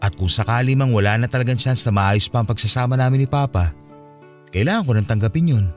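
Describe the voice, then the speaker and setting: An actor plays a troubled young Filipino man in a radio love story. He is sincere and confiding, with an average-pitched male voice. Soft music sits behind his lines. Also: fast talker, lightly acted. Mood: sombre, resolved. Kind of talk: Filipino radio romance drama acting.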